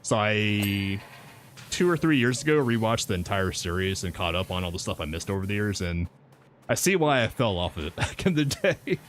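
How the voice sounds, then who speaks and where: A man with a deep voice chatting casually and in a laid-back way while co-streaming a game. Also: sing-song, moderate pace, fairly bright tone, faint music behind. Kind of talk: casual chat while gaming.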